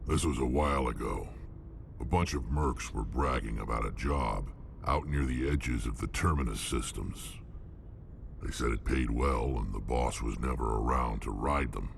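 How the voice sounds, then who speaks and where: An actor playing a gruff, hulking alien mercenary in a video game, blunt and menacing, with a gravelly rumble. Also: male voice, moderate pace. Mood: grim recollection. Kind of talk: video game character dialogue.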